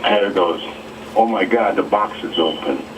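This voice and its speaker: A man's voice: sits low, normal speed. A man calling in to a live radio show. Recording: noisy radio phone call, distant.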